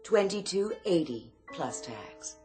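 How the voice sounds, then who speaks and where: Radio drama casting: courteous, uncanny shop assistant, deep for a woman, unhurried, insinuating, knowing. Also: sing-song, warm tone, faint music behind. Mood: smooth, evasive. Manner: measured, genteel, insinuating sales patter. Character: eerily courteous, knowing female department store saleswoman.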